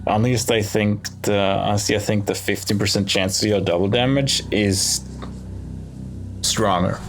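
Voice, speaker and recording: A man giving casual gameplay commentary, thinking aloud in short, musing phrases with pauses between them. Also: on the low side, fairly even intonation. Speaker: let's play host. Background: game music.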